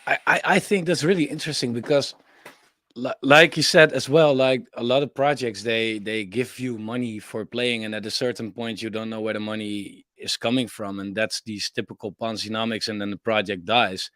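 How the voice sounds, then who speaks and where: A man hosting a casual interview, eager and quick to react, often stumbling over the start of his words. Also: lowish voice, steady tone, fairly bright tone, no echo, clean studio sound. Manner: enthusiastic, stammers on word starts. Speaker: interviewer, channel host.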